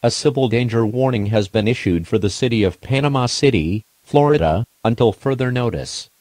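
A man's voice: mid-range voice, a little fast, darkish tone, dry recording. A man's formal, impersonal synthetic voice reading a scripted emergency alert announcement.

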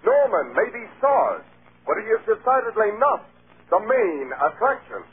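An actor playing a breezy, gushing Hollywood gossip broadcaster in an old-time radio drama. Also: male voice, sits high, big pitch swings, unhurried. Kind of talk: 1930s radio acting. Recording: noisy, tinny old radio recording.

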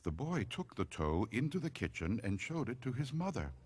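A man narrating a scary story dramatically, in a deliberate, suspenseful manner. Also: mid-range voice, very animated, a little mellow, slightly rough, no room sound, studio quality.

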